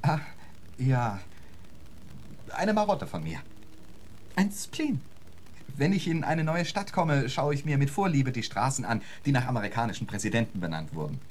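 A man's voice: medium pitch, sing-song, moderate pace, pauses often, slight background noise, lightly acted. A man playing a genteel, theatrical lecturer in a radio drama, protesting politely in flowery, mannered phrases. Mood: affronted, theatrical. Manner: flowery, mannered, eager to persuade. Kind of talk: German radio drama acting.

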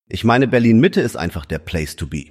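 An actor playing a vain, dismissive big-city influencer in a radio play, with an affected, dramatic manner. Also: male voice, lowish voice, very animated, fairly quick, a little mellow. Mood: disdainful. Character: vain Berlin male influencer, dismissive of country life.

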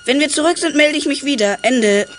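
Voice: high voice, expressive, a little fast, slight background noise. A young performer playing a sensible, teasing schoolchild from a detective gang in a children's radio play.

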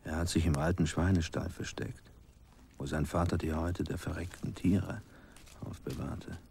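An actor playing a grim villager recalling cruel childhood events, deliberate and hushed, in a radio play. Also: male voice, low-pitched, fairly even intonation, a little hiss or hum. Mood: brooding, hushed. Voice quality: gravelly, husky, breathy. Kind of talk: slow dramatic radio play storytelling.

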